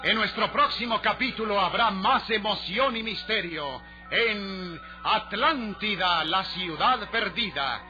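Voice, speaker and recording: A man's voice: high-pitched, animated, takes it slowly. A man announcing a radio adventure serial in a grand, theatrical, declamatory style. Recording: muffled old radio recording, loud music.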